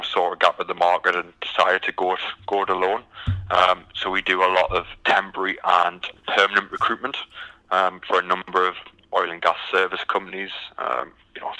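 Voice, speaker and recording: A man's voice: low-pitched, bright, forceful tone, rough recording. A British man from the UK, a recruitment firm founder answering interview questions with many ums and restarts.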